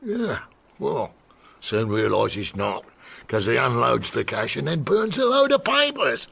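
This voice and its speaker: Gravelly petty crook. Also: male voice, medium pitch, very animated, unhurried, a bit bright, full character voice. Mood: earnest. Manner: hesitant, colloquial storytelling. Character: nervous working-class petty cat burglar, cheeky eyewitness. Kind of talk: period detective audio drama.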